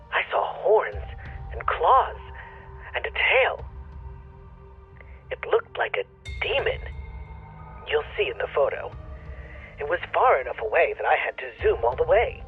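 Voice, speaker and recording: An actor playing a local man reporting an eerie sighting in a conversational audio drama voice message, through a phone filter. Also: fairly high pitch. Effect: phone or radio filter. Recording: tinny phone line, music underneath.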